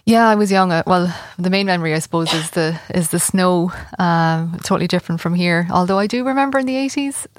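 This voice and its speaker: Woman, Irish accent, late forties, lowish voice, halting with fillers, dry close sound. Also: no echo. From Ireland. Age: 40s. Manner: hesitant, many fillers and restarts. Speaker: arts festival organiser, guest. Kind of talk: conversational radio interview.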